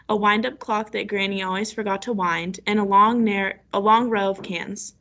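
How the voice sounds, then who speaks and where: A woman's voice: mid-range voice, a bit bright. A woman teacher reading a story aloud and guiding children through an online lesson on a video call.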